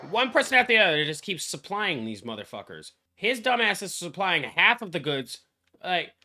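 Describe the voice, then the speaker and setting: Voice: medium pitch, sharp tone. A streamer giving casual reaction commentary on a game, blunt, mocking and full of swearing. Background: background game music.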